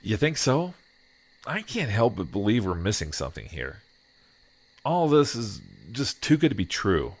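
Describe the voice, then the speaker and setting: A man's voice: fairly low pitch, big pitch swings, measured pace. A man playing an earnest, self-doubting husband in an audio drama, with a hesitant, weary way of talking. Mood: anxious, resigned. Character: earnest, self-doubting husband burdened with a world-changing decision. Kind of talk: audio drama acting with first-person narration. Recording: noticeable background noise.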